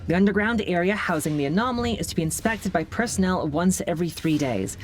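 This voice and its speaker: A woman's voice: fairly low pitch, lively intonation, quick pace, close to an everyday voice. Woman as a formal official, faint music underneath. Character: formal female official reading containment documents. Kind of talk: audio drama scripted narration.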